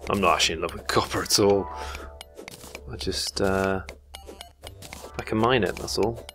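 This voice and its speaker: Deep-voiced man rambling over gameplay. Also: normal speed, music in the background. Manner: chatty, rambling, swears casually. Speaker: gaming YouTuber. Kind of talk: casual let's play commentary.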